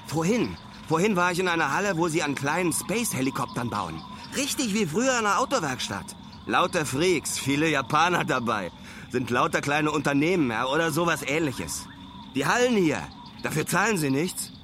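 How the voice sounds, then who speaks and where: An actor playing a brash, excitable young male traveller who keeps a slangy spoken diary in clipped phrases, in a radio drama. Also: fairly high pitch, normal speed, noisy background. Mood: excited, fascinated.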